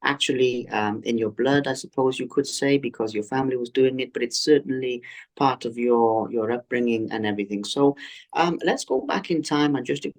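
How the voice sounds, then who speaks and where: A man hosting an interview podcast, earnest and effusive, often pausing on "um" as he builds his questions. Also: mid-range voice. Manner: earnest and effusive, with frequent ums and restarts. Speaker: podcast host.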